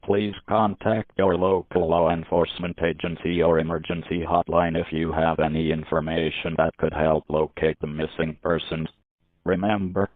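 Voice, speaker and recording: Muffled lo-fi guy doing an emergency alert, super low voice, formal and measured. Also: no room sound. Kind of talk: scripted emergency broadcast announcement. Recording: muffled, band-limited lo-fi audio.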